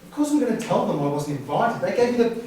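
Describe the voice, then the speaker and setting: A man's voice: high voice, sing-song, fast, warm tone. A man acting an indignant, wounded, sarcastic younger brother in a stage drama. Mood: defensive, sarcastic. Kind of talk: amateur stage play acting. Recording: echoey room from far off, faint noise.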